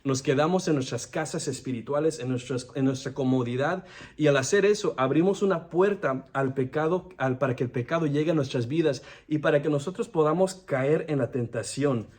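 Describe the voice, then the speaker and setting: Earnest man, higher voice. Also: darkish tone. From United States. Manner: earnest, halting, frequent restarts. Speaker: devotional speaker. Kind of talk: devotional sermon.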